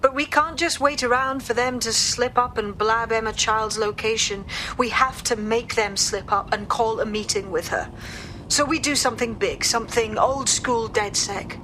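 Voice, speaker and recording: A wary, sharp-minded woman plotting for the resistance in a video game, played by an actress. Her voice sits fairly high, her talk is brisk, sweary and sardonic, and vehicle noise is clear behind her. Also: lightly acted, low-quality recording. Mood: scheming.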